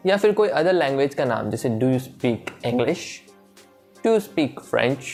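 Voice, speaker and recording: A man teaching spoken English, explaining in Hindi and repeating each English phrase slowly and clearly. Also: mid-range voice, darkish tone. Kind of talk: bilingual spoken-English lesson.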